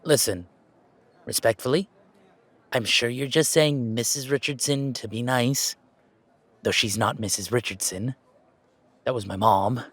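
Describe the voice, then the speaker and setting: A man's voice: mid-range voice, a little slow, long pauses, a bit bright, husky, no room sound. Man acting a chatty, anxious young guy, dry close sound. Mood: indignant, bitter. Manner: chatty, hesitant, rambling. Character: affectionate, anxious, self-deprecating young gamer, wary of family. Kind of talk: conversational audio roleplay acting.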